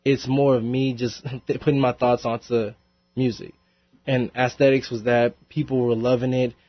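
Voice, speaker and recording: A young man talks through his music in a relaxed, rambling way, laughing easily between thoughts. His voice sits at an ordinary pitch. The studio sound is dry and a little dull. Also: moderate pace, no room sound. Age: young adult. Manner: laid-back, laughs often, rambling with fillers. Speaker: rapper guest. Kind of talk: casual interview chat. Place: college radio studio.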